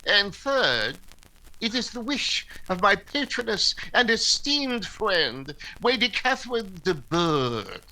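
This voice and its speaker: A man's voice: high-pitched, bright, forceful tone, full character voice, poor audio. A man playing a pompous, fussy clergyman suitor who speaks formally in a period radio comedy.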